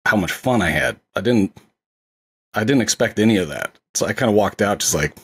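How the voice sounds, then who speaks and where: American male podcast co-host, low husky voice, informal and keen, quick to agree. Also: expressive. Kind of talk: casual podcast chat.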